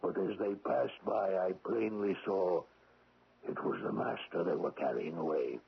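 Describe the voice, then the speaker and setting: Meek shepherd, low croaky voice, kinda halting and deferential, dull old radio sound. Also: male voice, heavily acted. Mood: halting, confessional. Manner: hesitant, humbly respectful, explains haltingly. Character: humble, deferential peasant shepherd, a shamefaced drinker. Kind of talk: radio drama acting. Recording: dull 1970s radio drama recording.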